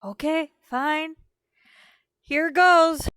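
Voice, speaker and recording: A woman's voice: high voice, drawn-out words, a bit bright, no room sound, studio quality, exaggerated voice. A woman playing a cheerful, fussy young housewife and family peacemaker in a radio soap drama. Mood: bright, reassuring.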